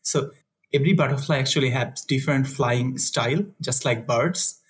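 Young man from Bangladesh, husky voice, soft-spoken, chuckling, casual interview guest. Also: medium pitch, normal speed. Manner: soft-spoken, laughs often, frequent fillers. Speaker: guest, conservation researcher.

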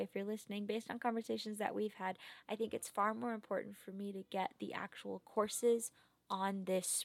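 A woman's voice: mid-range voice, no echo. A young woman talking through a casual late-night work log, tired, self-critical and methodical as she thinks aloud. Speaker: research assistant logging work progress.

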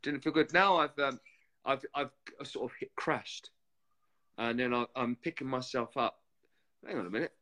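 A man's voice: mid-range voice, sharp tone. A man, a guest on a chat show, who talks reflectively and often stops and restarts his sentences. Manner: reflective, halting with repeated restarts. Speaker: guest, former reality TV contestant. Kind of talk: casual interview chat.

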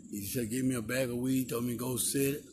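A man in his sixties with a Texan accent, telling his life story as a guest in slow, drawn-out speech outdoors. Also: mid-range voice, fairly even intonation. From Texas. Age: 60s. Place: outdoors in a park. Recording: noisy outdoor recording.

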